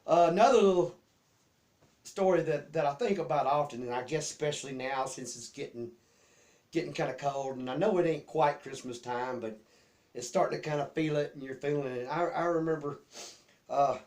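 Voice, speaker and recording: A middle-aged man from the American South shares memories in a casual, folksy drawl. His voice sits slightly high for a man. He rambles and doubles back on words as he tells his personal stories. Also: moderate pace, darkish tone. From Memphis, Tennessee area. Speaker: host telling childhood memories.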